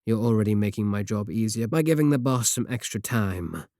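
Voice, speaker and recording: A man playing a coolly sardonic villain in an audio roleplay, unhurried, condescending and softly threatening. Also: lowish voice, animated, dry recording, studio quality. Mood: smug, firm. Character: menacing, coolly sardonic male villain of an evil organization. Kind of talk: scripted ASMR audio roleplay.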